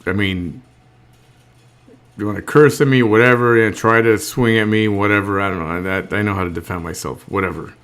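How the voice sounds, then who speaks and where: A man with a somewhat low voice hosts a relaxed live-stream show. He talks in a halting, unscripted way, restarting and repeating words as he works out what he thinks. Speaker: host. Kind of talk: casual live-stream chat.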